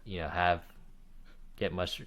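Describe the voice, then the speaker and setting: Really deep voiced guy on a podcast, careful, likes to clarify stuff. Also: little pitch movement, takes it slowly, crowd noise. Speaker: podcast co-host. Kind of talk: casual chat.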